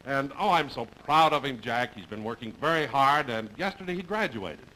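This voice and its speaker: A man's voice: medium pitch, animated, normal speed, bright, forceful tone. An American man playing a proud, pushy, short-tempered announcer father in an old television comedy sketch. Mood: proud, eager. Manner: emphatic, blustering. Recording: noisy 1950s television broadcast with audience.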